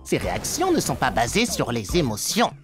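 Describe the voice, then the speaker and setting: A woman's voice: a bass voice, wildly swooping pitch, normal speed, faint music behind, full character voice. A woman voicing a brainy, pedantic young male microbe schoolboy in a French cartoon comedy, given to precise words and theatrical delivery. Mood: matter-of-fact, clinical. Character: brainy, coldly rational young male microbe schoolboy, later over-emotional. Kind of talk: French animated comedy dub.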